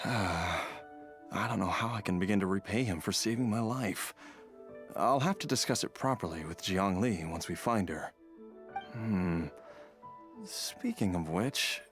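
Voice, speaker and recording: An earnest, grateful father who is recovering from illness in a fantasy game. His voice rises and falls in lively turns over soft background music. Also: lowish voice, a little slow. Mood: relieved. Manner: warm-hearted and earnest, lively shifts in tone. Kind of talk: game dialogue.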